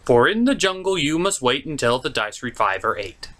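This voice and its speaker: This is a comedic film reviewer hosting a recap. The delivery is very animated and sarcastic, with pitch that swings widely from line to line. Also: moderate pace. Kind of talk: comedic movie recap commentary.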